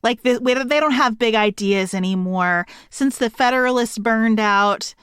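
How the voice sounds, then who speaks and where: A woman's voice: fairly high pitch, moderate pace, a bit bright, clean tone, no room sound, clean studio sound. A woman co-hosting a podcast, discussing a book casually in a thoughtful, articulate way. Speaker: podcast co-host.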